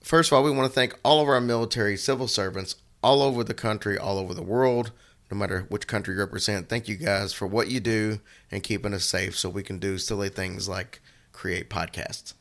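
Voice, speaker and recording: Raspy-voiced man with a cold. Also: on the low side, fairly even intonation, fairly quick, fairly bright tone. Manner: earnest, rambling with fillers. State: hoarse from a cold. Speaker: podcast co-host. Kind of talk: casual chat.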